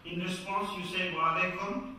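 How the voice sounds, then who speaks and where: A man with a Turkish accent, from Turkey, giving a humorous university lecture in measured, emphatic phrases, recorded in a campus lecture hall. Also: high-pitched, little pitch movement, sharp tone, a little hiss or hum. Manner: humorous, jokes with the audience. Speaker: guest lecturer. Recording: echoey hall recorded from far off.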